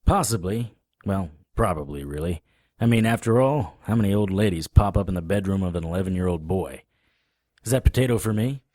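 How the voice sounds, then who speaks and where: A man playing a weary, wry monster fighter, narrating an audio drama in the first person with a husky voice. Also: sits low, animated, brisk, mellow, no room sound, clean studio sound. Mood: dry, matter-of-fact.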